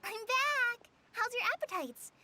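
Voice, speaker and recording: A woman's voice: very high voice, lively intonation, a little slow, sharp tone, a little hiss or hum, full character voice. A woman voicing a cheerful, easygoing young child in a video game.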